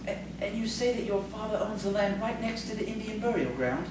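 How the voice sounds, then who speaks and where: A woman's voice: mid-range voice, animated, fairly quick, natural voice. A woman playing a polite, eager, bookish male schoolteacher in audio theatre, with a hesitant, formal manner. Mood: curious. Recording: distant, very echoey, noisy stage recording.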